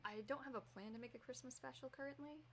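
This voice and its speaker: A woman's voice: high-pitched, fast talker, crowd noise. A woman streamer chatting casually and reacting on a livestream, speaking off the cuff. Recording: faint background noise under voice.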